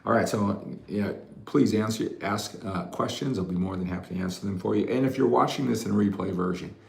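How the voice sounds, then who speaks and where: Gravelly, echoey American man livestreaming. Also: on the low side, fairly quick. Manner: rambling, often adds "right?" as a tag. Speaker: fitness coach hosting a livestream. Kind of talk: casual livestream chat to viewers. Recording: roomy, echoey room sound.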